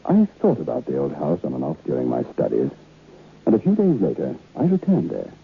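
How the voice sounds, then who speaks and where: An actor playing a haunted, curious former student who narrates a vintage radio horror tale in a deliberate, literary, brooding way. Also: male voice, very deep voice, wildly swooping pitch, vocal fry, breathy edge, exaggerated voice. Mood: intrigued, hushed. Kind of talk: dramatic first-person horror narration. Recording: muffled, noisy old radio recording.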